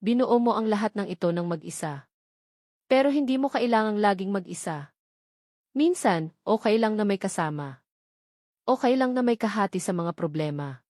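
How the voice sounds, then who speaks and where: A woman with a Filipino accent voicing a devoted, serious and gentle male suitor in an audiobook. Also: medium pitch, lively intonation, moderate pace, long pauses, no echo, studio quality, natural voice. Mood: serious, tender. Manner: serious, caring.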